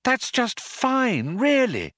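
A man voicing a bright, compassionate woman in a storybook reading, with a lilting, patient way of reassuring. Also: wildly swooping pitch, moderate pace, no room sound, clean studio sound. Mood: lilting reassurance. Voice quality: high, lilting. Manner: singsong, patient reassurance, firm when needed.

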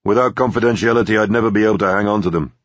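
A man voicing a self-assured hired investigator in an audiobook, speaking briskly and directly in a businesslike way. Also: on the low side, no echo, dull audio, voice pushed up, close to an everyday voice.

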